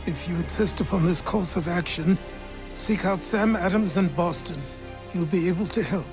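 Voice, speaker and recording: An aged mentor with a notably high voice. He is fussy and kindly, choosing long, learned phrases with dry delivery, as in a video game drama. Soft music sits behind him. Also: normal speed. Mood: cautioning. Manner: wordy, erudite, dry.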